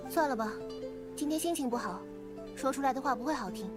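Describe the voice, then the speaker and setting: A woman's voice: high voice, lively intonation, fast talker, faint music behind. A woman voicing a curt, moody and proud young woman in a fantasy game, with a cool, dismissive manner. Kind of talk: game voice acting.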